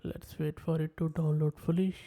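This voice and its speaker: A man who is a native Urdu speaker, presenting a software tutorial in a methodical way and narrating each step as he types. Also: a little high, little pitch movement, moderate pace, gravelly. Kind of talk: screen-share software tutorial.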